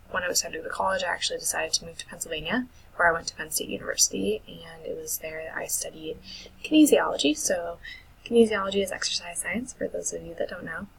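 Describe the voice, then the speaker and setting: An American woman in her twenties with a breathy, husky voice, casually introducing herself as a student. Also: lowish voice, bright, forceful tone, rough recording. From United States. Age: 20s.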